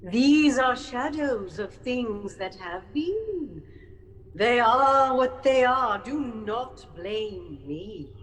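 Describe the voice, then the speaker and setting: A woman playing a solemn ghostly spirit in an audio drama, stern, measured and portentous. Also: a little high, very animated, a bit bright, heavily acted. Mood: stern, relentless. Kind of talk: theatrical audio drama acting. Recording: long cavernous reverb, faint noise.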